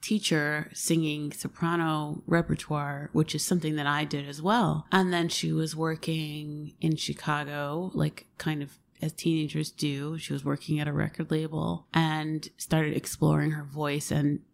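A woman's voice: sits low, little pitch movement, unhurried, vocal fry, hardly any pauses, slight background noise. A woman, a singer, chatting thoughtfully in an interview, often restarting her sentences. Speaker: singer and guest. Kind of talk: casual interview chat.

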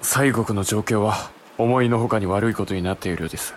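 Casting: polite, sober samurai younger brother male actor, slightly low voice, period audio drama. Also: a little fast, a little hiss or hum, close to an everyday voice. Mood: concerned. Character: serious, deferential younger brother of samurai lord. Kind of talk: Japanese historical audio drama.

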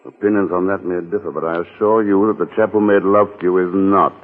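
An actor playing a suave, wry and persuasive secret agent in a 1940s radio drama. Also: male voice, low voice, fairly even intonation, fast talker, natural voice. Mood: insistent, wry. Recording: muffled, narrow-band old radio recording, reverberant.

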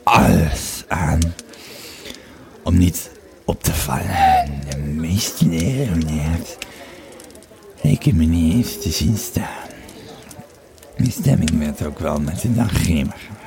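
A man performs an aged legionary, tipsy and rambling, recounting army days in an audio play. The voice is low and slow, with theatrical swings in pitch. It halts and picks up again like a dramatic tavern storyteller. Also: animated, a little mellow, full character voice. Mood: grim reminiscing. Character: old tipsy Roman legionary retelling his war days.

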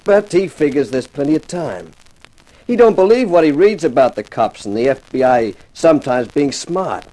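A man's voice: a little high, lively intonation, moderate pace, dark tone, close to an everyday voice, rough recording. An actor playing a tough, taunting gunman in a 1950s radio crime drama, with slangy hard-boiled talk. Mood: menacing, scornful. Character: tough, sneering male gangster with a gun.

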